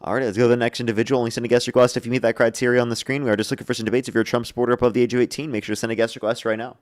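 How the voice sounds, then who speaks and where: A young man hosting a live debate stream, briskly taking callers and repeating his stock lines to viewers. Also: medium pitch, little pitch movement. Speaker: livestream debate host. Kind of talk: live-stream call-in chat.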